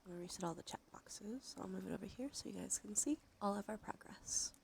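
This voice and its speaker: A woman hosting a casual ASMR livestream, chatting softly and narrating what she does, with a breathy voice that often slips into a whisper. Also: mid-range voice, fast. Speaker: livestream host. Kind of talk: casual ASMR stream chat. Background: background music.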